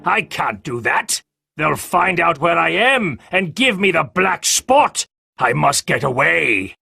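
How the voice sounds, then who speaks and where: Fierce, sickly old seaman, read by a male narrator: gravelly, husky, lively pitch, dry sound with faint music. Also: slow, no room sound, heavily acted. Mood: urgent. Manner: drawling, pleading and cursing. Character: sick, fierce old male sea captain.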